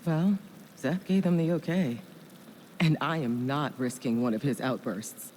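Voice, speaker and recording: An actress playing a calm, pragmatic woman of authority in a video game, speaking in a measured way. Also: rumbling low, a little hiss or hum. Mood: decisive. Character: calm, pragmatic female cult gatekeeper with authority.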